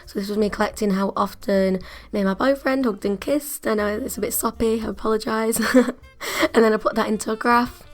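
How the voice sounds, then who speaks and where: A woman in her twenties with a croaky voice, chatting casually as an art student vlogger, often laughing at herself. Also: mid-range voice, fairly even intonation, fairly quick, soft background music. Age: 20s. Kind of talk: casual vlog narration.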